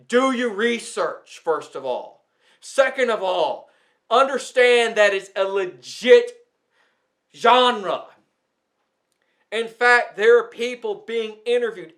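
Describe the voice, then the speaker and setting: A man's voice: high-pitched, fairly bright tone. A man who makes ASMR videos, delivering an indignant, emphatic rant that scolds and repeats phrases for effect. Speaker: ASMR content creator. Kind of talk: indignant rant addressed to the platform.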